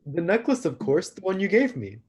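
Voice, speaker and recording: A man's voice: sits high, sing-song, brisk, darkish tone, husky, heavily acted. A man playing an awkward, lovestruck young god, hesitant and earnest, in a staged play reading. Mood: eager.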